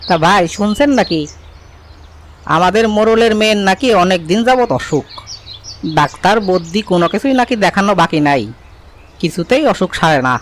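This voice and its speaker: A man's voice: sits high, lively intonation, fairly quick, pauses often, a little hiss or hum. A man playing a chatty, folksy village tea-stall keeper in a cartoon, passing on local gossip. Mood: gossipy, sympathetic.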